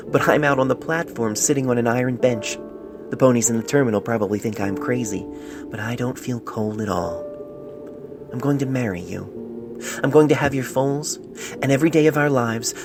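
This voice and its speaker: Man reading as a tender young mare in love. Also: natural voice. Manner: tender, wistful, lightened pitch. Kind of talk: letter read aloud in story. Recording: homemade phone-mic recording with music.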